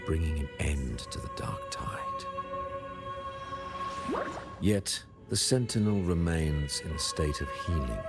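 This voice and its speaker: An actor playing a devout, commanding religious leader in a video game, speaking in grave, measured, pious oratory. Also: male voice, extremely low pitch, heavily acted. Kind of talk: dramatic video game voice acting. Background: prominent background music.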